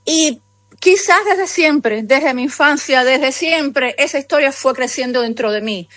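A high-pitched woman in her fifties speaks with a Cuban accent, wandering through her memories with many asides. The sound is dry and close, with no room around her voice. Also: unhurried, dry recording. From Cuba. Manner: rambling, warmly conversational, many asides. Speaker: guest author. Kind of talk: remote interview conversation.

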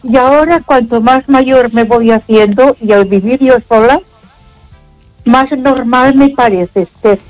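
A woman's voice: fairly high pitch, measured pace, darkish tone, dry recording, rough recording. Older lady calling in to the radio, keeps repeating herself, lots of "ay", dry sound, faint music behind. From Barcelona. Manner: emphatic, repeats herself, frequent ay interjections. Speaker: radio caller. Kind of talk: casual phone-in chat.

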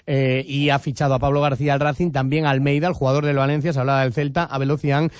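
A man presenting a radio sports news bulletin, informative and brisk, with fillers here and there. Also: mid-range voice, flat delivery, smooth, dry recording, clean studio sound.

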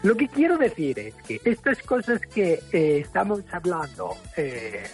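Irish man, slow and hesitant in Spanish. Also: high voice, huge pitch swings, faint music behind. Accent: Irish, speaking Spanish. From Ireland. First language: English. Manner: deliberate, hesitant, many fillers. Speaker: guest, listener.

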